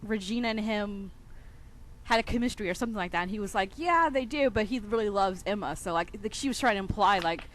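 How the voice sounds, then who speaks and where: A woman's voice: medium pitch, lively intonation, fast talker, fairly bright tone, a little hiss or hum. A woman co-hosting a podcast, speaking in a casual chat style. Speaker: podcast co-host.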